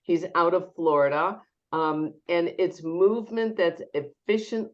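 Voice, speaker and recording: A woman's voice: on the low side, steady tone, a little slow, muffled recording. A woman hosting a fitness webinar, talking in a chatty way with lots of ums, her voice nasal as she recovers from an illness. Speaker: webinar host and company CEO. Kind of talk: welcoming webinar introduction.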